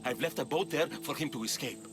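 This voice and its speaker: An actor playing a wily aging former mercenary, an old friend, in a video game. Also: male voice, medium pitch, a little fast, music in the background, close to an everyday voice. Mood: pleading, friendly.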